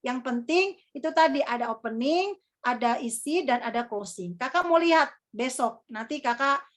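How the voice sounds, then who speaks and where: Young woman, high-pitched, easygoing trainer with a rambling, filler-heavy delivery. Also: expressive, normal speed. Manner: chatty, calls herself Kakak, many fillers. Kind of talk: casual workshop teaching.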